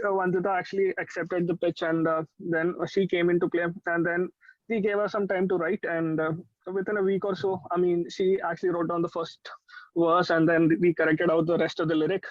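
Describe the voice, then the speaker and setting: A man's voice: high-pitched, dry recording. A young man with an Indian accent, talking casually as a musician being interviewed. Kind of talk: casual chat. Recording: dry but slightly muffled audio.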